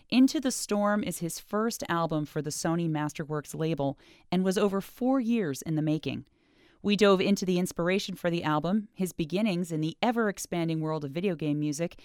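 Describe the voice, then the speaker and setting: Lower-voiced woman narrating, music faint behind. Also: darkish tone, dry recording. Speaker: interviewer and narrator. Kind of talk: scripted narration.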